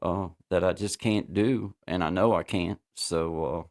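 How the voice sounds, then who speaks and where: Gravelly low-voiced man chatting casually. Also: fairly even intonation, unhurried, a little mellow. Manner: rambling, repeats himself, many fillers. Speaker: livestream host. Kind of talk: casual livestream chat.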